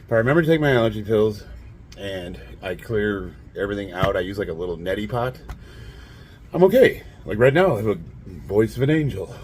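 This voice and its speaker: Brief: late-40s man, nasal and raspy, low voice, faint hiss in the garage. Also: sing-song, fairly quick, a little mellow. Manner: blunt, rambling, laughs often. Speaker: fitness vlogger. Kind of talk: casual monologue to camera.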